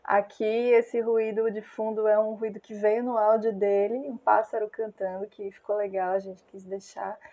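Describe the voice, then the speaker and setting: A Brazilian woman in her thirties with a Brazilian Portuguese accent, a music producer calmly explaining how she built a track, recorded on an earphone mic in a small room. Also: mid-range voice, muffled recording. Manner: calm, measured, unhurried. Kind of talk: casual explainer of a music project.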